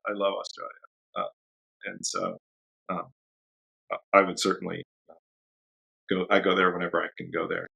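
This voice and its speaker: A man with a very low voice and plenty of gravelly fry talks easily as a podcast guest. He rambles in a relaxed way and breaks into laughs often. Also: moderate pace, long pauses, dull audio. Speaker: dog trainer guest. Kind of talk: casual interview chat.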